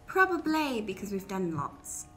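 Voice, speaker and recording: A woman's voice: mid-range voice, very animated, unhurried, fairly bright tone, soft background music. A woman in an improvised comedy radio play, acting an eager, scheming daughter who hesitates and seeks her mother's approval. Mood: eager, deferential.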